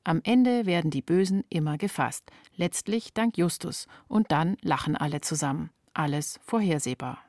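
A woman's voice: deep voice, lively intonation, moderate pace, few pauses, darkish tone, dry recording, studio quality. A woman reporting, reading scripted narration for a radio feature.

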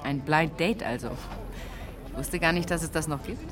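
A woman's voice: low voice, animated, normal speed, crowd noise. An actress playing a cool, witty, forward woman in a naturalistic radio drama. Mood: teasing, curious.

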